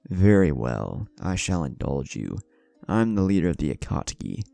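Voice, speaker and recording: Man putting on a low, stoic villain leader. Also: big pitch swings, normal speed, gravelly, dark tone, dry recording, soft background music, exaggerated voice. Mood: stoic, grandiose. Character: stoic male villain leader, grandiose, godlike, easily angered.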